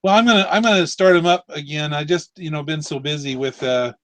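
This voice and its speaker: A man's voice: on the high side, fairly quick, a bit bright. A man in his late forties hosting a casual live video chat, rambling and correcting himself. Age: 40s. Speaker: live stream host.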